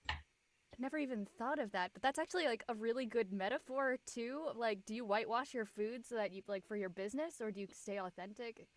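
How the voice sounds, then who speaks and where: A woman's voice: fairly high pitch, fairly quick, no echo. A woman talking casually in a group discussion. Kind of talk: casual chat.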